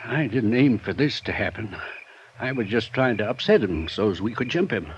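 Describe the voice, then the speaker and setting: A man's voice: fairly low pitch, expressive, lightly acted. A man playing a drawling old hand. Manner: slow folksy drawl. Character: drawling old western hand, folksy and shrewd. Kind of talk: 1950s radio acting. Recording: dull old radio transcription with hiss.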